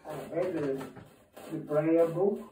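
An elderly native Yiddish-speaking man reminiscing informally, halting and repeating himself, on an old reel-to-reel tape. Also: fairly high pitch, a loud crowd, low-quality recording. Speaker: storyteller recalling his youth.

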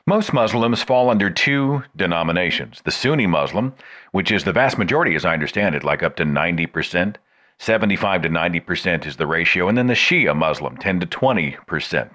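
Animated man hosting a talk podcast. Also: deep voice, brisk. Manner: expressive, emphatic delivery with wide swings. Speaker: podcast host. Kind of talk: scripted radio talk and interview.

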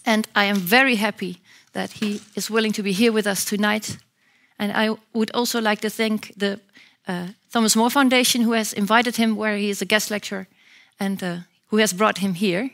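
A woman in her thirties opens a lecture evening from a theatre stage. Her voice sits at a middle pitch, and she announces the program in a friendly, direct way. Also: fairly even intonation, moderate pace, fairly bright tone. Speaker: program manager and event host. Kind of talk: event introduction.

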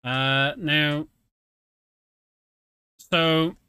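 Bright-voiced man commentating a game. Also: fairly high pitch, steady tone, drawn-out words, clear, resonant tone, no echo. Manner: explains as he plays, informal. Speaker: streamer, speedrunner. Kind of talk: casual live game commentary. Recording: game music behind the voice. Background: video game music and vehicle sounds.

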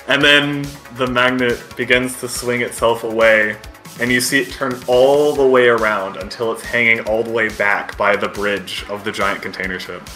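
A man improvising descriptive, halting narration as game master of a tabletop roleplaying game. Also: mid-range voice, steady tone, bright, forceful tone, soft background music.

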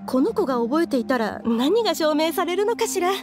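A refined, motherly middle-aged woman from an anime game. The voice is high and very animated in pitch. Manners are polite and ladylike, yet guarded and controlling, over soft music. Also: fairly quick. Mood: defensive. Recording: background music under the voice.

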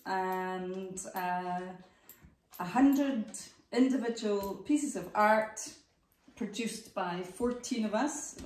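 A woman, explaining a group art project in a thoughtful, unhurried way. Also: medium pitch, a little mellow, slight background noise. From Scotland. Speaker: project organiser and artist. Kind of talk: casual group chat. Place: dining room in a home. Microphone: far room camera. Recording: roomy and distant.

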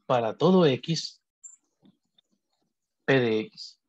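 A man teaching math in a slow, deliberate way, stopping now and then to rephrase a point. His voice is slightly husky, set at an ordinary middle pitch, and recorded close on a computer mic. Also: lively intonation, dull audio. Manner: measured, deliberate, thinks aloud with pauses and self-corrections. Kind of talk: explaining logic notation on a digital whiteboard.

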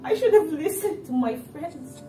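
A woman's voice: high-pitched, lively intonation, moderate pace, far from the mic, noisy background. A young woman playing a pleading, bitter jilted girlfriend in a stage play, theatrical and emotional. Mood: anguished, accusing. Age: young adult. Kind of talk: amateur stage play acting. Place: indoor living-room stage set. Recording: echoey stage from afar, music behind.

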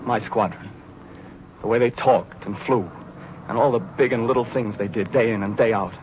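An actor playing a brash, cynical young American navy pilot turned idealist, in an intense, theatrical 1940s radio drama monologue. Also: male voice, on the low side, normal speed, close to an everyday voice. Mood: brooding, searching. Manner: intense, theatrical, searching delivery. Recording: noisy, dull 1940s radio recording.

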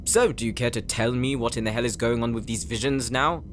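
A man's voice: medium pitch, fairly quick, over music, lightly acted. A man playing an exasperated, guarded male comrade in a sci-fi fantasy audio roleplay.

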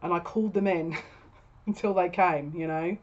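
A woman's voice: lowish voice, fairly even intonation, moderate pace, a little mellow, a little hiss or hum. A woman in her thirties giving a casual, spoken tarot reading to camera as a psychic reader. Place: small furnished room at home, indoors. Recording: dull lo-fi webcam sound.

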